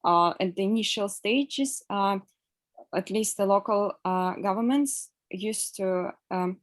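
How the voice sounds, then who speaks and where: A woman's voice: medium pitch, slow, dry recording. Polite woman from Russia. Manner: polite, hesitant, many fillers. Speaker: panelist asking a question. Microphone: video call.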